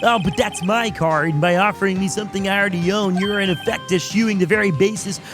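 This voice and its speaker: A man's voice: high voice, quick pace, natural voice. A man voicing a bratty know-it-all young boy from a video game, with a haughty, lecturing manner. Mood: smug, lecturing. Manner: haughty, lecturing, stammering when flustered. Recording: music behind the voice.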